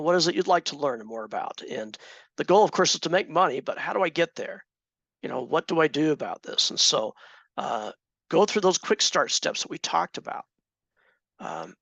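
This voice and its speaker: A man with a fairly high, gravelly voice leads a trading class, chatting with his students and throwing questions to them. His voice is a little hoarse, and the sound is dry and close. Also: fairly bright tone, no echo. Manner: conversational, asks the class questions. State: slightly hoarse, clearing throat. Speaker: trading instructor. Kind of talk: teaching a live class.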